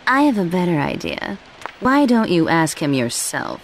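A woman's voice: fairly low pitch, very animated, moderate pace, very noisy. A woman voicing a seductive, scheming robot pop diva in a video game, sultry and coy. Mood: coy, teasing.

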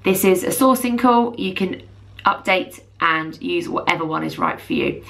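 A woman in her thirties presenting a software tutorial, explaining plainly step by step. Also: mid-range voice. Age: 30s. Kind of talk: instructional screen walkthrough.

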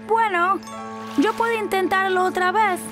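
Una mujer que da voz a un niño curioso y entusiasta de cuatro años en dibujos animados, con habla sencilla e infantil. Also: female voice, extremely high pitch, steady tone, measured pace, fairly bright tone. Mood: eager. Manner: simple, childlike phrasing. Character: curious, eager four-year-old boy. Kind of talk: children's cartoon dubbing. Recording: music behind the voice.

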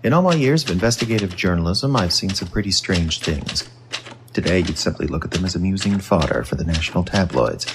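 A man's voice: low voice, expressive, fairly quick, background noise. A man playing a retired investigative reporter, narrating supernatural cases earnestly and wryly in a noir-like audio drama.

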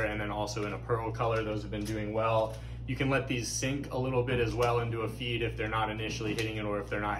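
A man talks through a fishing report from inside a tackle shop. His voice sits low and stays fairly flat, practical and unhurried. A faint hum of room noise sits underneath. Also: poor audio. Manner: steady, practical, product-focused.

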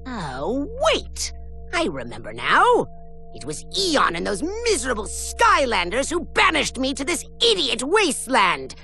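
A tiny evil sorcerer for a cartoony game, high scratchy voice, petulant, super theatrical. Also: male voice, huge pitch swings, unhurried, vocal fry, sharp tone, faint music behind, heavily acted. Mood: petulant, bitter. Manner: theatrical, pompous, gloating. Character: small bald megalomaniac male villain sorcerer, boastful and petulant. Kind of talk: animated video game villain acting.

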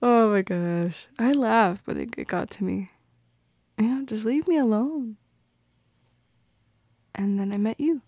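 A young woman playing a shy, teasing teenage goth girl in an intimate ASMR roleplay, speaking softly and hesitantly, often slipping into a whisper. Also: fairly high pitch, no echo, muffled recording. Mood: wounded, wry. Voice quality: soft and breathy, slipping into whispers. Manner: hesitant, teasing, halting with small laughs. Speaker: audio roleplay performer. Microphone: close microphone in a dry space.

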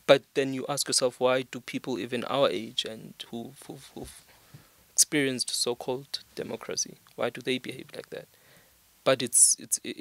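A man's voice: mid-range voice, creaky. Earnest young South African man. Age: young adult. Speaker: podcast guest. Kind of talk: conversational podcast discussion. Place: small indoor podcast studio. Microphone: microphone on a stand.

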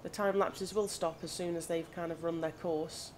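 Croaky-voiced woman chatting while gaming. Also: fairly low pitch, normal speed. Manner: chatty, thinking aloud, relaxed. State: slightly croaky from hay fever. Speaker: gaming channel host. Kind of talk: casual gameplay commentary. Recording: audible background noise.

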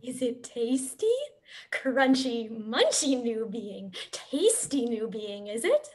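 A woman's voice: on the high side, lively intonation, slow pace, a little mellow. A young woman playing a sly, hungry male shark with babyish sing-song phrasing in a play reading. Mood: hungry, teasing. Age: young adult. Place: small dim room indoors. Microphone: computer mic on video call.

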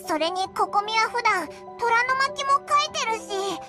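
A tiny fairy companion from a video game chatters in an extremely high, squeaky, childlike voice. The delivery is eager and boyishly blunt, yet fairly slow. Music plays behind the voice. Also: female voice, animated, pushed and bright, heavily acted. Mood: curious, busy. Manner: exclamatory, rough boyish wording. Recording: background music under voice.

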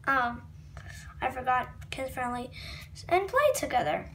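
Very high-pitched child reading aloud, slowly. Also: very animated, pushed and bright. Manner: stumbles over words, wanders off into asides. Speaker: read-aloud video host. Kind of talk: reading a comic book aloud with asides. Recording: noisy home recording with room ambience.

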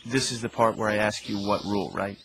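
A man's voice: on the low side, steady tone, a little fast, close to an everyday voice. Dry, skeptical American man amid birdsong, acted. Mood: doubtful. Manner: dry, hesitant, understated. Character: skeptical, laconic man humoring an eccentric friend. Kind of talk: audio drama acting. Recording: noisy outdoor ambience with birdsong.

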